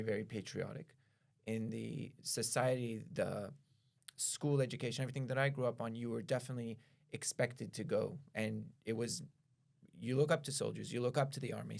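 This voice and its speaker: Guy from israel, bit of vocal fry, slow careful explainer on a podcast, stops and rephrases a lot. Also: mid-range voice, a little mellow. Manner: measured, explains at length with pauses and self-corrections. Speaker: podcast guest, attorney. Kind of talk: explanatory conversation.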